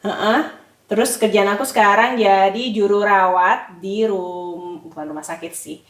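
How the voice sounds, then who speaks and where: A woman's voice: medium pitch, fairly even intonation, unhurried. Roomy distant sound, Indonesian woman, podcast co-host, mid-range voice, casual chat. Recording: roomy echo, distant from mic.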